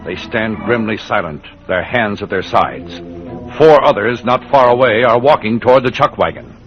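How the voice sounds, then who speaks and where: A man's voice: fairly low pitch, normal speed. A man narrating dramatically as the announcer of an old-time radio Western. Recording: muffled old radio broadcast. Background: organ music.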